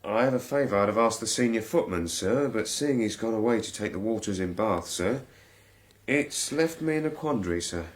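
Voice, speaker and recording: An actor playing a deferential, plain-spoken manservant in a period radio drama. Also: male voice, lowish voice, lively intonation, normal speed, natural voice. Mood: hesitant, respectful. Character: deferential, plain-spoken manservant asking favours, also narrating.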